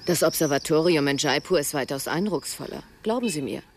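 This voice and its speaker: A woman's voice: deep voice, expressive, brisk, a little hiss or hum. An actress playing a self-assured, seasoned woman traveller in a radio drama, blunt, cool and knowing. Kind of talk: German radio drama acting.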